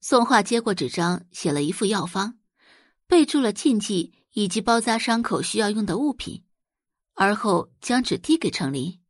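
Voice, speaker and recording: A woman reading scripted fiction narration as an audiobook narrator, in an expressive storytelling style. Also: medium pitch, moderate pace, no echo, studio quality.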